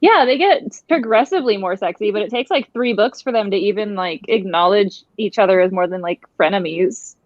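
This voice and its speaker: A woman's voice: medium pitch, brisk, hardly any pauses, no echo. A woman co-hosting a livestream, joking animatedly with friends over slightly dull call audio. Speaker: livestream co-host. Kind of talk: casual chat among friends. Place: remote video call livestream.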